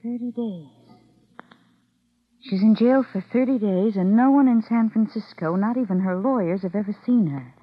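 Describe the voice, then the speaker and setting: An actress plays a scheming woman talking to herself in old-time radio style. Her voice is breathy and intense, with a husky edge. The recording sounds dull and old. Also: medium pitch, moderate pace, no room sound, close to an everyday voice. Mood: stunned, calculating.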